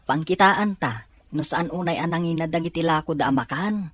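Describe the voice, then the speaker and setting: Woman acting lowish-voiced friendly Filipino male student. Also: a little fast. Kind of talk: amateur Ilocano audio drama acting.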